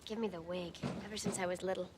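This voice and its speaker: A woman's voice: lowish voice, fairly even intonation, fast, natural voice. Woman as a wry teenage girl, noisy background. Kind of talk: TV series acting.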